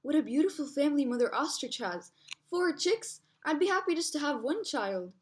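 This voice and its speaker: Woman as a possessive lioness, high voice. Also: big pitch swings, moderate pace. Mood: wistful. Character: possessive, motherly lioness claiming stolen chicks.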